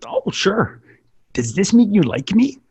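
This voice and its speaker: A man's voice: high voice, big pitch swings, a little slow, a little mellow, slightly rough, dry recording, exaggerated voice. A man voicing an insecure, self-pitying nerdy schoolboy in an amateur sitcom table read, needy and self-deprecating. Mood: hopeful, pleading.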